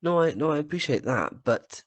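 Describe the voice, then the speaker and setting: A man's voice: on the high side, lively intonation, brisk, dry recording. Rambling man with a cold. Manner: rambling, swears, stumbles and repeats words. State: has a cold. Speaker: podcast co-host. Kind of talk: casual chat.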